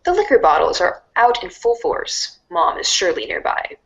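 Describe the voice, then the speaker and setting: A woman voicing a wry, mock-grandiose storybook narrator in a dramatic read-along style, deadpan and sardonic. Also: mid-range voice, moderate pace, dull audio. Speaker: narrator of a fan readers' theater show.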